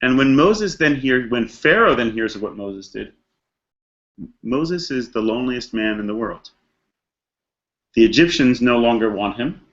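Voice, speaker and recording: A man's voice: lowish voice, dull audio. A man giving a conversational scripture lesson as a rabbi, telling the story reflectively and posing rhetorical questions. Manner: dramatizes characters' thoughts in casual modern slang. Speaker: rabbi teaching a text study class.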